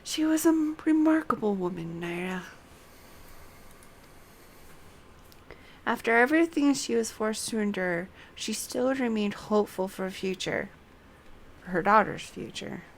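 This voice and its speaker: A woman's voice: medium pitch, lively intonation, normal speed, creaky, a little hiss or hum. A woman reading out a somber, earnest game character's quest dialogue. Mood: solemn, wistful. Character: somber, bitter game character reflecting on blood and legacy.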